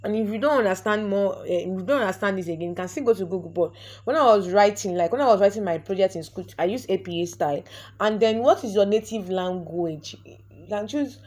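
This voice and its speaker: A woman's voice: mid-range voice, brisk, darkish tone. A young woman with a Nigerian accent guides viewers through a website sign-up. She is a native Yoruba speaker, and her delivery is chatty and instructive, full of repeated words and restarts as she clicks along. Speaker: vlogger. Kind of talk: screen-recorded tutorial walkthrough.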